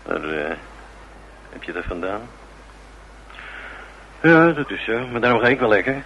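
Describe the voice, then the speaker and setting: Brash cynical bandleader type in a radio play, gruff n slangy, lowish voice, muffled old recording. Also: male voice, big pitch swings, a little slow, noisy background. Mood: dismissive. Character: brash, cynical male band musician with rotten reputation. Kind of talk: Dutch radio drama acting.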